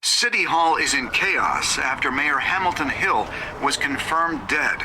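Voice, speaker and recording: A man playing a serious television news anchor in a video game, formal and measured. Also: a little high, expressive, sharp tone, a little hiss or hum, heavily acted, rough recording.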